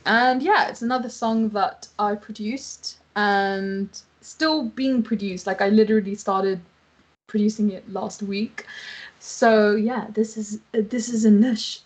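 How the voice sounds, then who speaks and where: A woman whose mother tongue is Tamil, chatting casually and informally as a singer-songwriter introducing her own songs, with a giggly, like-peppered manner. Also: mid-range voice. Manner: informal, giggly, uses "like" often. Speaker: singer-songwriter and producer, festival guest performer.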